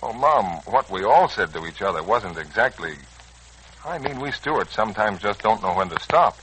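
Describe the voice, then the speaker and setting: An actor with an American accent playing an earnest, slangy, hot-headed young son in a 1940s radio serial. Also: male voice, lowish voice, expressive, moderate pace, a bit bright, slight background noise, rough recording. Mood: earnest, conciliatory. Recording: old, rough radio recording.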